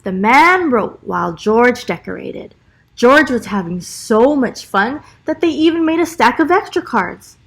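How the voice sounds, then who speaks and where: A woman's voice: on the high side, sing-song, unhurried, a bit bright. A woman teacher reading a children's storybook aloud expressively, with encouraging little asides to young listeners.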